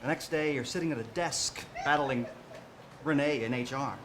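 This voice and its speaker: A man's voice: medium pitch, normal speed, heavy background noise, lightly acted. A man playing a glum, self-pitying captain in late middle age in a musical parody on stage. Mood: distracted, glum. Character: weary, self-pitying aging male starship captain facing fifty.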